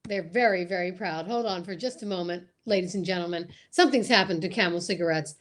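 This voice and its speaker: A woman's voice: lowish voice, fast talker. A woman announcing an old-time radio show, with casual asides.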